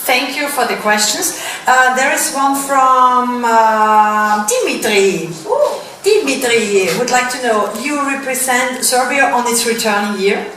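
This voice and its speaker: A brisk woman moderator keeps the questions moving with short prompts. Her voice is bright and fairly high, and it comes from far off the mic. The room is very echoey. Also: a little hiss or hum. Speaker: press event moderator.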